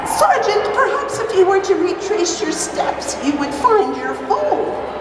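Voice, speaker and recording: A woman's voice: extremely high pitch, very animated, a little slow, full character voice. A woman playing a fussy, theatrical mother in flowery live stage melodrama. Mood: prim. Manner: flowery alliterative melodramatic delivery. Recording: distant, echoey noisy hall.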